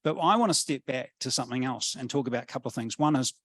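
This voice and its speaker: A middle-aged man with a New Zealand accent, giving a thoughtful panel talk with many pauses and restarts. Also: mid-range voice, a bit bright, no echo. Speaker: panel speaker, policy academic.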